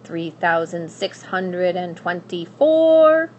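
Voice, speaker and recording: Woman acting a slow, scornful storybook witch. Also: fairly low pitch, sing-song, a little mellow, highs cut off, a little hiss or hum. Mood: cold, indifferent. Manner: slow, drawn-out, disdainful. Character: cold, menacing witch, scornful and indifferent.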